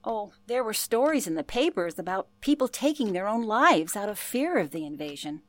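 A woman's voice: mid-range voice, big pitch swings, moderate pace, no room sound, natural voice. An actress playing an older former telephone operator, telling her past in an earnest, genteel way for a radio play. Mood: storytelling. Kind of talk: radio play, interview-style reminiscence.

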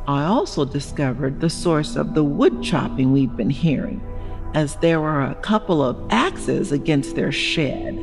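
A woman playing an elderly wife in a horror audio story, reading her worried, genteel journal in a measured, wistful manner. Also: low voice, heavily acted.

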